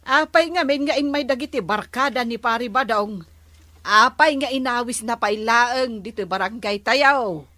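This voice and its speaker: A woman's voice: a little high, moderate pace, pushed and bright, no echo. A woman with an Ilocano Filipino accent playing a nagging village captain's wife in an amateur comedy skit. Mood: complaining.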